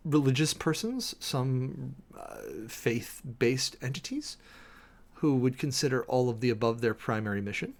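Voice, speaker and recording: A man giving a dramatic reading and close commentary in a formal, deliberate, sermon-like manner with emphatic pauses. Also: medium pitch. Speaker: preacher and commentator hosting a show.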